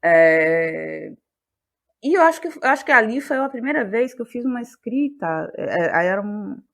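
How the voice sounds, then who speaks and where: A woman's voice: medium pitch, sing-song, moderate pace, creaky, fairly bright tone. A Brazilian woman with a Bahian accent answers as an interview guest, talking reflectively and searching for words, repeating phrases for emphasis. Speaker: guest, playwright and actress.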